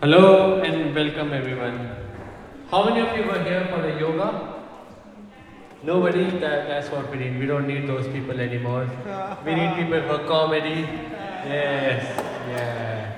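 Young male Indian-accented comic, slightly high voice, dry crowd teasing, heard from far off in a reverberant hall. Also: normal speed. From North India. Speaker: stand-up comedian. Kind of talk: stand-up comedy with audience banter. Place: echoing church hall at a festival. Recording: distant mic, very echoey hall, audience noise. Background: audience.

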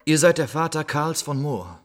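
A man playing a poor soldier bearing grim news in a radio drama, husky, grave and declamatory. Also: on the high side, very animated, moderate pace. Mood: solemn. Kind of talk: theatrical radio drama storytelling.

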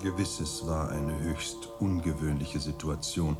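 Radio play role: wise monastery elder, bottomless bass, courteous, measured, faint music behind. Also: male voice, fairly even intonation. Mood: serene, courteous. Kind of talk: radio drama acting.

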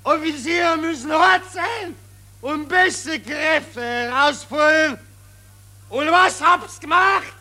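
An actor playing a hysterical, bitter Austrian army officer in a theatrical radio drama, shouting and sputtering in halting repetitions. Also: male voice, extremely high pitch, exaggerated voice. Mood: harsh, commanding.

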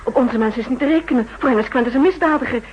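An anxious, devoted female conspirator in a 1960s radio drama. The voice is high and markedly husky, with the theatrical diction of an old broadcast. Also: racing, lo-fi sound. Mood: urgent, anxious.

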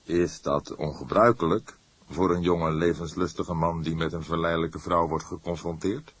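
A man voicing a calm, probing veteran police detective in an audiobook, with a friendly, measured manner. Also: deep voice, slightly rough, dry recording. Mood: sceptical, careful. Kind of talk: audiobook character acting.

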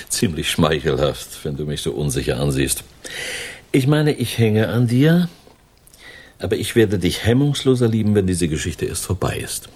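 An actor playing a sardonic, world-weary middle-aged criminal mastermind and lover in a German radio crime drama. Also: male voice, low-pitched, big pitch swings, unhurried, long pauses, darkish tone, husky, full character voice. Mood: sardonic, guarded.

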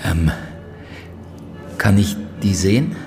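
An actor playing a grave, persistent police detective in a radio drama, terse and probing. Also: male voice, low voice, slow, music in the background. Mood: probing, insistent. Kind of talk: naturalistic radio drama acting.

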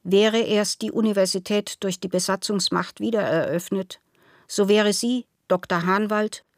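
A woman narrates literary prose in a scripted reading. Her pitch is average for a woman, and the sound is dry with no room around it. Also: steady tone, unhurried, no room sound.

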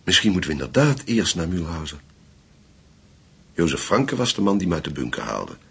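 Radio-play investigator, extremely low, husky, courteous, hesitant. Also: male voice, sing-song, a little fast, exaggerated voice. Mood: thoughtful. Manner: hesitant, polite. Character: courteous, hesitant male investigator staying at a hotel.

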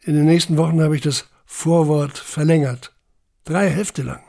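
A man playing a haunted young deportee, recounting camp life in a deliberate, introspective radio drama monologue. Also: a little high, steady tone, gravelly, mellow. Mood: introspective, wounded.

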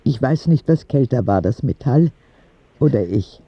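Frank kid narrator in a radio play, standard german, wry and chatty, bit husky, slightly dull sound. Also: male voice, mid-range voice, animated, a little slow, no room sound. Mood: hushed, shaken. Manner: candid, wry, conversational storytelling. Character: ten-year-old sick boy Oskar, frank, cheeky, telling his story. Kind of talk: audio drama narration as letters to God.

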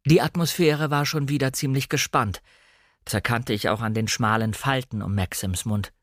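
A man narrating a literary audiobook in a measured, expressive way. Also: medium pitch, no room sound, clean studio sound.

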